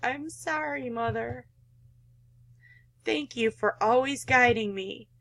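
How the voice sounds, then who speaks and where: Woman as guilt-ridden young girl, visual novel, high voice, obedient, meek, unhurried. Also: vocal fry, fairly bright tone, heavily acted. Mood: apologetic. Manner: meek, apologetic.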